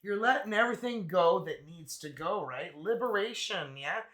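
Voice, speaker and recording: A man gives a relaxed, chatty tarot reading and laughs easily as he goes. His voice sits a little high and sounds bright and forward. A cold has left it slightly raspy. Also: normal speed. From Canada. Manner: laid-back, chatty, laughs often. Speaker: tarot reader.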